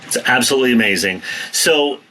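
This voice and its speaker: Bright, enthusiastic man in his fifties. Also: mid-range voice, expressive, fairly quick, poor audio. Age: 50s. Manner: enthusiastic, many short affirmations. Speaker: interview host. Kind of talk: interview questions.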